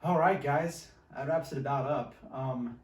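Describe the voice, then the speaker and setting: A man's voice: medium pitch, a little slow. A man hosting a podcast, chatting casually about films. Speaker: podcast host. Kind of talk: casual film discussion. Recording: roomy, distant mic, faint noise.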